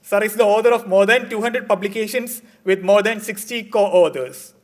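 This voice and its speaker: A man reads a formal introduction in a measured, scripted manner. His voice is notably high for a man. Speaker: conference emcee. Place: conference hall.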